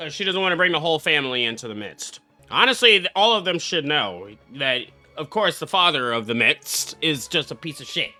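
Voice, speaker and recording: A man streaming a video game with casual, blunt, excitable, foul-mouthed reaction commentary. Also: on the high side, brisk, faint music behind. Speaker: gaming streamer.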